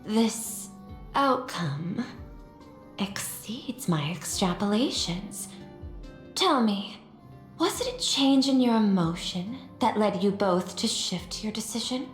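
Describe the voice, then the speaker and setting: An actress playing a composed, analytical corporate executive in a sci-fi video game, speaking formally and with measured precision. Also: female voice, medium pitch, lively intonation, roomy sound, soft background music. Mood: calm, questioning. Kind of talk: scripted video game character dialogue.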